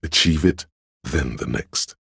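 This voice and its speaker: Man playing a cool cautious lieutenant, extremely low, raspy, controlled, deliberate, game dialogue. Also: bright, forceful tone, no echo, clean studio sound, exaggerated voice. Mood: focused. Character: cool, cautious, principled male police lieutenant. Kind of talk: dramatic game dialogue, inner thoughts.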